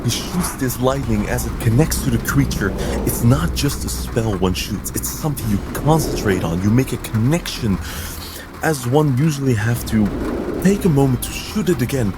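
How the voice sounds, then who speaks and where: A man's voice: medium pitch, lively intonation, moderate pace, very noisy. A man playing a tabletop game, narrating his character's actions in an intense, theatrical way. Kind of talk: dramatic third-person game narration.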